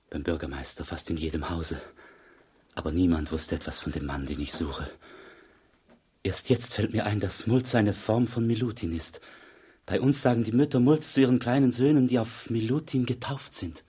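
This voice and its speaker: Patient male traveller for radio play, deep and very husky, gentle, measured, earnest. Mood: earnest, quiet. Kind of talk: German radio drama acting.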